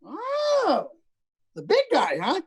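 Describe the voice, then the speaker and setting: A woman voicing a crude, lecherous older man who is a hospital patient, talking with a leering, boastful drawl and breathy chuckles. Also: very high-pitched, huge pitch swings, slow, full character voice. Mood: sleazy. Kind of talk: livestream play reading.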